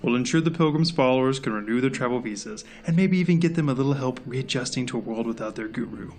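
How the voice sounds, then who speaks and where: A gruff, world-weary male agent, played by an actor in an audio drama. He is curt, dry and easily exasperated, with a mid-pitched voice. Faint music sits behind him. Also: fast talker, darkish tone, close to an everyday voice.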